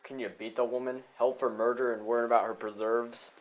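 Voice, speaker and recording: Quick-talking, scoffing sheriff played by a man. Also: mid-range voice, a bit bright, roomy sound, natural voice, low-quality recording. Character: dismissive, scoffing male sheriff. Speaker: stage actor. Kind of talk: amateur stage play dialogue.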